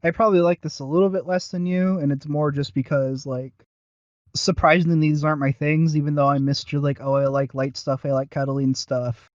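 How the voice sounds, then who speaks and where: Male podcast co-host, slightly high voice, rambling casual chat, self-deprecating, very dry close recording. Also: little pitch movement, fairly quick, a little mellow, dry recording, highs cut off.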